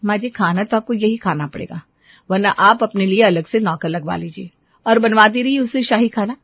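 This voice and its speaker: A woman's voice: medium pitch, normal speed, dry recording, lo-fi sound, natural voice. A woman voicing a strict, fed-up young daughter-in-law, firm and lecturing, in a family story.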